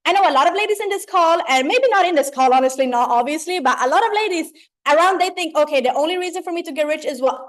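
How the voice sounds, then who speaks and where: A woman, giving an informal, slangy motivational training on a video call in a chatty, animated way. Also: very high-pitched, fast. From Sweden. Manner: chatty, animated, slangy, checks in with "right?" and "you know?". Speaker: mentor leading an online training.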